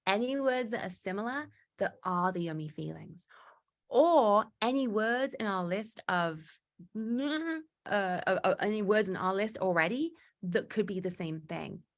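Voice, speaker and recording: A young woman from Newcastle, leading an online workshop in a lively way and acting out the voices she quotes. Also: medium pitch, a little slow. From Newcastle, England. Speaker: workshop facilitator.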